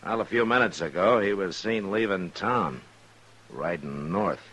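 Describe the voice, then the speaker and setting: An actor playing a stern, controlled Old West marshal in an old-time radio western. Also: male voice, low voice, lively intonation, measured pace, a bit bright, breathy edge, full character voice. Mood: measured. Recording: noisy vintage radio recording.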